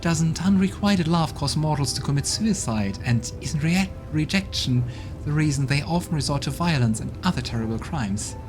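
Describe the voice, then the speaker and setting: A man's voice: a little high, expressive, normal speed, voice pushed up, lightly acted. A man voicing a curious, playful female demon in a game playthrough, with music in the background. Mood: probing.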